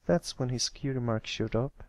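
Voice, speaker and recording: A man voicing a shy, earnest young male cartoon pony who speaks gently and hesitantly in a storybook reading. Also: mid-range voice, moderate pace, no echo, lightly acted. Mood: gentle, reassuring.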